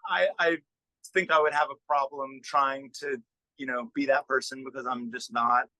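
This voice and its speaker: A man's voice: medium pitch, flat delivery, moderate pace, sharp tone, dry recording, low-quality recording. A middle-aged man, a musician, chatting casually and informally in an interview in a self-effacing way. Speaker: musician and record producer being interviewed.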